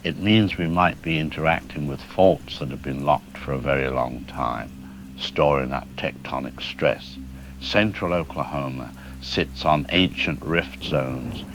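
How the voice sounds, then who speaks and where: Careful worried seismologist guy, a man acting it, real deep voice, explains slow and hedges. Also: a bit bright, a little hiss or hum, heavily acted, low-quality recording. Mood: measured. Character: calm middle-aged male seismologist, measured and worried. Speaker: expert guest. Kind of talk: audio drama news interview.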